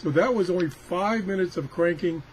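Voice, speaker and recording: A man's voice: sits high, moderate pace, a little hiss or hum. A man giving a casual hands-on product demonstration as a video reviewer, rambling and thinking aloud. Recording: weather radio chattering in the background.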